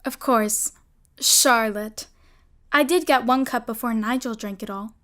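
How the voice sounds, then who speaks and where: High-voiced, clever young girl. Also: a little slow, fairly bright tone. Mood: falsely obedient. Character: watchful, clever young girl, bossy older sister. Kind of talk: melodramatic audio drama acting.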